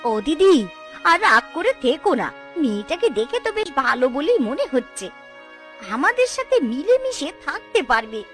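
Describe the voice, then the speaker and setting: Cartoon folk tale: actress playing fretful, whiny co-wife, very high-pitched and excitable, music underneath. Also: female voice, unhurried, full character voice. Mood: coaxing. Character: excitable, fretful middle co-wife, complaining but soft-hearted.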